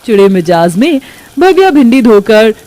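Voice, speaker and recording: High-voiced woman narrating a story. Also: big pitch swings, moderate pace, dark tone. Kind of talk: scripted narration of moral story.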